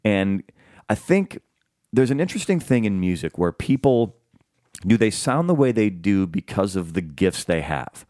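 A man's voice: lowish voice, big pitch swings, moderate pace, darkish tone, no echo. A man hosting an interview, chatting casually and rambling through long questions with many restarts. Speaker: podcast host.

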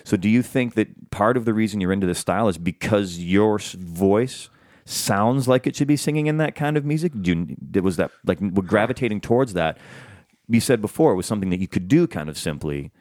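A man's voice: on the low side, lively intonation, brisk, a little mellow, dry recording, studio quality. A man hosting an interview, chatting casually and rambling through long questions with many restarts. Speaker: podcast host.

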